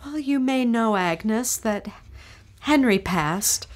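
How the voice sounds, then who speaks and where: A woman's voice: fairly high pitch, expressive, slow. A woman playing a frightened, grieving widow at a seance, anxious and dramatic, in old-time radio drama style.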